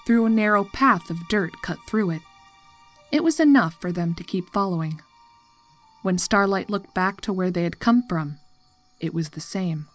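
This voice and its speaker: A woman narrating scripted horror fiction as a storyteller. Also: mid-range voice.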